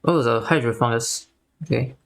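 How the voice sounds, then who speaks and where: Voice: mid-range voice, fairly quick, gravelly. A streamer giving casual, chatty commentary while playing a game. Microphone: new microphone.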